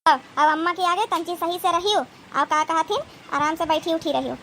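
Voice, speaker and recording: A woman's voice: extremely high pitch, fairly even intonation, moderate pace, fairly bright tone, a little hiss or hum. A woman playing a scolding but protective village sister-in-law in an Awadhi comedy cartoon, exclamatory and rustic. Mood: earnest advising. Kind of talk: dramatic comedy cartoon dialogue.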